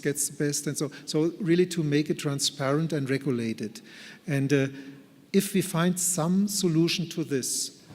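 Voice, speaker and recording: A man with a somewhat high voice responds to questions at a conference. He speaks earnestly and deliberately, weighing his phrasing, and his voice carries the long reverberation of a large hall. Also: a little mellow. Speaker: plenary speaker answering audience questions. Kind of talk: conference question-and-answer discussion.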